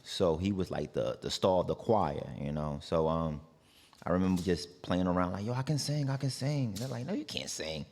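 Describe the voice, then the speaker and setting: A man's voice: deep voice, moderate pace, in a large hall. A man from New York with a New York accent, a singer guest in a casual interview, talking loosely with many fillers like "like" and "you know". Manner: relaxed, rambling, lots of "like" and "you know".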